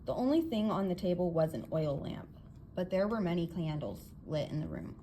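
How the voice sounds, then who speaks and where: A woman narrating a story from a script, reading aloud with expressive, clearly phrased delivery. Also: on the low side, normal speed. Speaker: audiobook reader. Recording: clear background noise.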